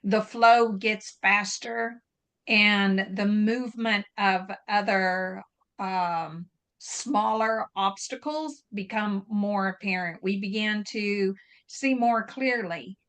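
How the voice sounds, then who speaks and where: Slow, measured woman. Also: medium pitch, fairly bright tone. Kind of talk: casual group discussion.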